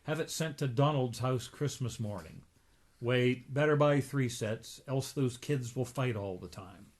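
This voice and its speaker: A man's voice: medium pitch, measured pace, natural voice. A man voicing a curt, bossy, rich old uncle duck as he reads a comic book aloud. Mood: decisive.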